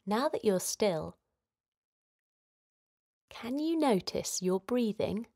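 A woman leading a guided relaxation for children in a gentle, soothing manner, giving simple instructions with pauses. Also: mid-range voice, expressive. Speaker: children's guided meditation host.

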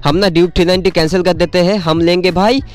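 A young man casually chatting to camera as a moto vlogger outdoors on an empty highway, teasing and laughing often. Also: fairly even intonation, fast talker. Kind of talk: casual vlog chat to camera.

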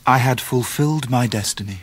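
A man playing an earnest, sensitive young gentleman who tells his own story in a radio drama, polite and wry. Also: mid-range voice, a little fast, breathy edge. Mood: wistful reflection. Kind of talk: dramatised first-person narration, 1990s radio drama.

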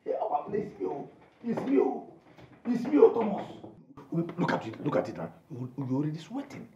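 A frantic, high-pitched Nigerian fixer friend, wildly swooping. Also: male voice, wildly swooping pitch, normal speed, distant mic, dull audio, background noise. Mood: pleading. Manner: stammering, repetitive, pleading. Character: excitable male friend and fixer, frantic, placating. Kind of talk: Nollywood-style film drama acting. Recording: echoey room, music bed.

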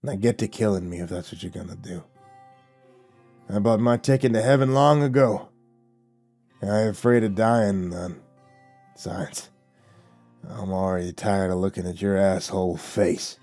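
Brief: gruff profane old preacher gunslinger read by a male narrator, growled low and rough. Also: moderate pace, long pauses, a little mellow, soft background music. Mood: growling. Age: older. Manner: growling, rough, folksy drawl.

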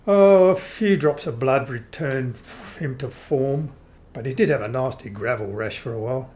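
An actor playing a courteous, matter-of-fact vampire count and husband in a radio play. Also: male voice, medium pitch, a little mellow, natural voice. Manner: measured, courteous, explanatory. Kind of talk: old-time radio play acting.

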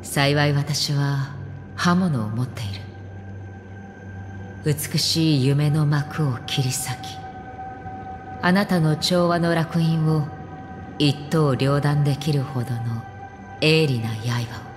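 A woman playing a solemn young swordswoman in an anime game, cool, calm and blunt in measured phrases. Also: sits low. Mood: cool, analytical. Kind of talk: scripted anime game voice acting. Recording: long reverb under music. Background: background music.

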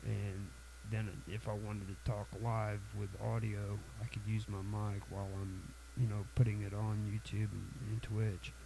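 A man with a cold hosting a casual software tutorial, halting and full of fillers and restarts. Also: fairly low pitch, level tone, vocal fry, background noise. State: has a cold, sniffly. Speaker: tutorial channel host.

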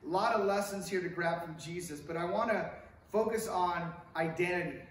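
A man's voice: high-pitched, normal speed. A middle-aged man from California preaching a conversational sermon at a lectern, gesturing widely and asking the congregation questions. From Hollywood, California. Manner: animated, wide hand gestures, asks the congregation questions. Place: church sanctuary with stone wall, at a lectern. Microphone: stand mic at the lectern, camera far off. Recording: very echoey sanctuary from far off.

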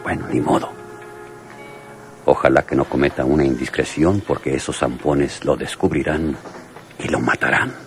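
Picture a cautious Mexican police lieutenant in a radio serial. The voice is extremely deep and grave, deliberate and authoritative. Faint music sits behind it. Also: male voice, steady tone. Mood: pensive, concerned. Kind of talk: radio drama acting.

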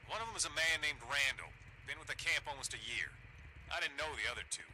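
An actor playing a brusque survivor camp leader making a radio call in a video game, clipped and forceful. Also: male voice, fairly high pitch, animated, fast, a little hiss or hum, poor audio. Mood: grim, urgent.

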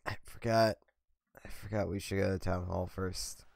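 Young man, hoarse scratchy voice, low-pitched, rambling gameplay commentary. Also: little pitch movement, normal speed, no room sound. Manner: rambling, chatty. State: hoarse, scratchy from a sore throat. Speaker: let's play host. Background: faint game music.

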